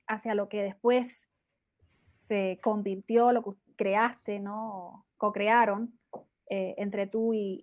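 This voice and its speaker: A woman hosting an interview, asking long questions in a measured way and searching for her words. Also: fairly high pitch, darkish tone. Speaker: host.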